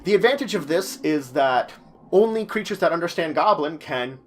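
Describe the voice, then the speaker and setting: A man's voice: fairly high pitch, animated, moderate pace. A man hosting an informal tabletop roleplaying guide, talking to viewers in a chatty, emphatic way that stresses key words. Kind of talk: semi-scripted informal explainer to viewers.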